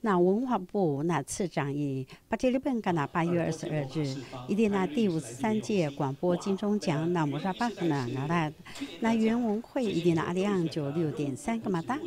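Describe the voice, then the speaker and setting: A woman's voice: lowish voice, lively intonation, normal speed, hardly any pauses, crowd noise. A middle-aged woman, a native Pinuyumayan speaker, reading scripted news as a presenter, with small fillers between phrases.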